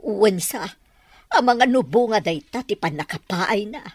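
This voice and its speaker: An actress with a Filipino accent playing an exasperated middle-aged mother and mistress of the house, with a husky voice. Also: medium pitch, animated, normal speed, no echo. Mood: brooding, exasperated. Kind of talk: Ilocano audio drama acting.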